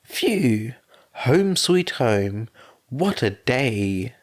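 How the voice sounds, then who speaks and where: A man voicing a gentle, weary father who speaks softly and patiently, with a hoarse, sore voice. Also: medium pitch, very animated, very slow. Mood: tender. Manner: soft, tired and patient. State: hoarse, losing his voice.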